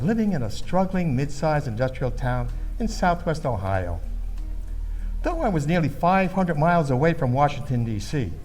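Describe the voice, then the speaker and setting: Man playing high-voiced, earnest Midwestern storyteller. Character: earnest middle-aged or older Midwestern man recounting his youth. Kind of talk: scripted storytelling monologue in radio theater.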